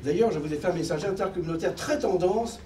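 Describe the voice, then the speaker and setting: High-voiced middle-aged man on a theater stage. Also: fast talker, dark tone, a little hiss or hum. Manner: chatty, self-mocking, playing at a keyboard. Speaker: comedian and pianist. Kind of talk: musical stand-up comedy. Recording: echoey theater, distant. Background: keyboard music.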